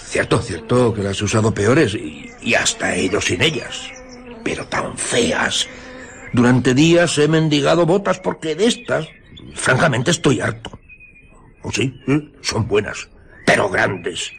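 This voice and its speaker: An actor playing a grumbling, frightened, bumbling tramp in a radio drama, stammering and muttering. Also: male voice, medium pitch, expressive, measured pace, gravelly, fairly bright tone, a little hiss or hum. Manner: stammering, muttering, easily flustered.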